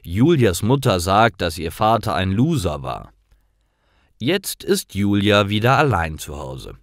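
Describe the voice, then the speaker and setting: A man reading simple scripted stories aloud in a clear, deliberate manner, as a storyteller for language learners. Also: on the low side, very animated, no room sound, studio quality.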